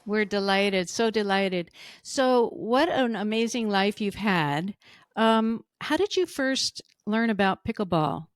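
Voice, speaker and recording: A woman's voice: medium pitch, slow pace, smooth, dry recording, studio quality. A woman co-hosting a podcast, effusive and gushing as she introduces and questions her guest. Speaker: podcast co-host. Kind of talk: enthusiastic interview hosting.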